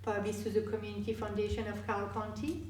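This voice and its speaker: A woman, a guest speaker answering audience questions in a halting way with many restarts. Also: mid-range voice, flat delivery, a little mellow, slight background noise. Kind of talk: live Q&A presentation. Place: meeting hall. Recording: echoey hall from far off.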